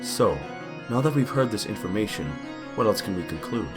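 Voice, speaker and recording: A commanding judge in an audio drama, acted by a man, low in pitch with wide swings. He is formal and authoritative, over loud music. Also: lively intonation, moderate pace, close to an everyday voice. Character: authoritative, fair-minded male courtroom judge. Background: loud background music.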